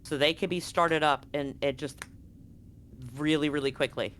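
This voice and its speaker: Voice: mid-range voice, fairly even intonation, normal speed, fairly bright tone, a little hiss or hum. A game master narrating a live-streamed tabletop roleplaying game in an informal, conversational way, thinking aloud.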